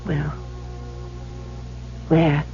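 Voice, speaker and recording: An actress playing a nervous, hesitant young wife with secrets in an old-time radio drama. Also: female voice, deep voice, level tone, extremely slow, warm tone, faint music behind. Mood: torn, uneasy. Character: lovely young wife, anxious, torn, secretive. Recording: muffled old radio recording.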